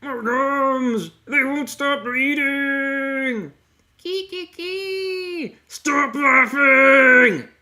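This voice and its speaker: A man voicing a manic, complaining little demon creature from a comic, in loud, drawn-out exclamations. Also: high voice, lively intonation, pitched above the performer's own voice, exaggerated voice.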